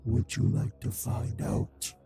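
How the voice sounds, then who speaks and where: A man playing a cruel, taunting male vampire in an audio drama, with a husky, gravelly voice and a slow, mockingly polite manner. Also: mellow, soft background music, lightly acted. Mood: quiet threat. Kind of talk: scripted audio roleplay drama.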